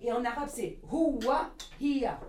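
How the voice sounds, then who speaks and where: A woman's voice: on the high side, animated, a little slow, distant mic, crowd noise. A woman language teacher drilling learners in an echoey classroom, away from the microphone.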